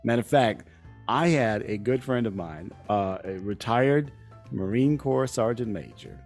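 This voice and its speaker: A man speaks as a podcast host in a conversational, complimentary way. His voice sits at a medium pitch, and faint music plays behind him. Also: unhurried, a little mellow.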